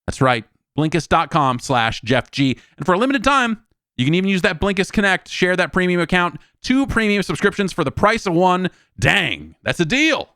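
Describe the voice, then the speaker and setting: A man talks casually as a solo podcast host. His voice is smooth and clean, recorded very dry. He rambles along in a wry, conversational manner. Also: high voice, big pitch swings, moderate pace, sharp tone, no echo.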